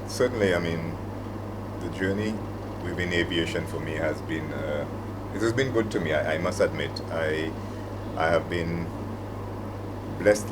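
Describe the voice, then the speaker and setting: Middle-aged male panelist, Saint Lucian accent, very low voice, conversational and anecdotal, clear background noise. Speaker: panelist, aviation operations manager. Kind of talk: reflective panel talk with anecdotes.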